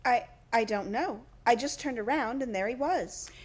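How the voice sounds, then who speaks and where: A woman playing a brisk, practical businesswoman and mother in an old-time radio play. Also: fairly high pitch, natural voice. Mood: dry, practical.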